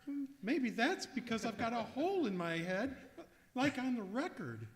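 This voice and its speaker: A man's voice: very high-pitched, big pitch swings, normal speed. An actor playing a naive, cheerful, slightly dim young male singer in an old-time radio comedy on stage. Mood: innocent. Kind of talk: 1940s radio comedy acting before a live audience. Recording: echoey hall stage recording with noise.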